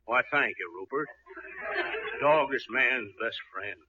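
An actor playing a pompous, eccentric, dog-loving veterinarian in an old radio comedy, stuffy and self-important. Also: male voice, medium pitch, slow, sharp tone. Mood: smug. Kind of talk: mid-century radio comedy acting. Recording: muffled, very noisy old radio recording.

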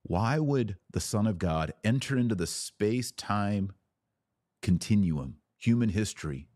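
A man hosting a podcast, giving an emphatic theological teaching talk with rhetorical questions and dramatic pauses. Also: lowish voice, expressive, a little mellow. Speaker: podcast host.

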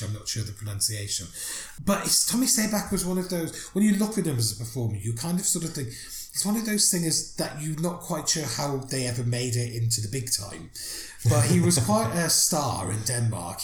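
Chatty man with lively pitch, roomy sound. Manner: chatty, laughs often, stumbles over foreign names. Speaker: podcast co-host. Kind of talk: casual chat. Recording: roomy echo.

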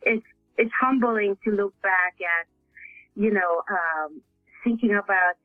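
A man from Hungary, native Hungarian speaker with a Hungarian accent, answering interview questions haltingly with many fillers and restarts. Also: sits high, no room sound, phone-quality sound, faint music behind. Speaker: author guest.

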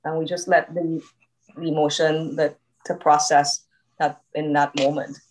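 Low-voiced woman with a subtle Trinidadian accent. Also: level tone, unhurried, darkish tone, rough recording. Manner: reflective, frequent tag "right?". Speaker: coactive coach, podcast guest. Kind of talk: conversational interview.

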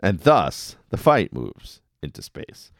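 A man's voice: sits low, huge pitch swings, slow pace, gravelly, music in the background. A man hosting a podcast, casually recapping episodes with wry, jokey asides. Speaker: podcast host.

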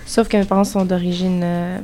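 A young woman from Quebec talking in a thoughtful, halting way in a casual group discussion. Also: on the low side, level tone, mellow. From Quebec, Canada. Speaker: student guest.

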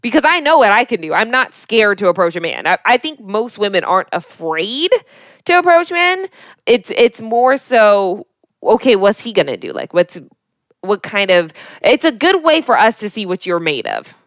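A young woman with a mid-pitched voice speaks her mind frankly and emphatically in relaxed conversation, dropping slang as she goes. The recording is close and dry. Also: normal speed, dry recording. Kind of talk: casual opinion chat.

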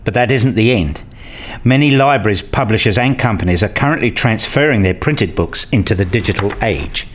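A man's voice: fairly low pitch, lively intonation, a little fast. A man acting in a scripted radio play as an eager, opinionated character who explains and argues his ideas. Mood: earnest, argumentative.

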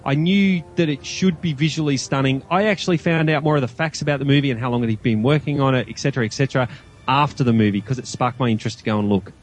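A man's voice: mid-range voice, fast talker. A man with an Australian accent giving his views as a guest in a casual film review chat. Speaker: podcast guest.

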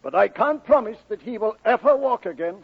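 An actor playing a grave village doctor with a creaky, raspy, high voice, in 1940s radio style. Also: male voice, lively intonation, fairly quick, exaggerated voice. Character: grave, fussy village doctor giving grim verdicts. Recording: thin, phone-like old radio recording.

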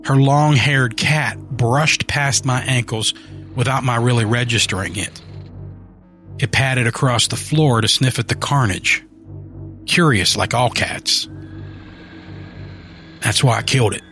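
Slow, grim male horror narrator. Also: medium pitch, sharp tone, soft background music. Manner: grim first-person storytelling. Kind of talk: scripted horror story narration.

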